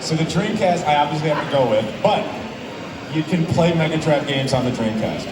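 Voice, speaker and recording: A man's voice: a little high, lively intonation, a little fast. A man on a convention panel arguing for his favorite console, full of eager restarts. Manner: enthusiastic, many restarts. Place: large convention hall, live panel. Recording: echoey hall from far off, loud noise.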